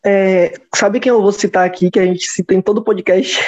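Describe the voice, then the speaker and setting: A man's voice: high voice, fairly even intonation, normal speed, a little mellow. A young man in his twenties with a Brazilian accent, musing in casual podcast chat, rambling and restarting as he goes. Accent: Brazilian Portuguese. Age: 20s. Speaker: podcast host. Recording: dull lo-fi sound.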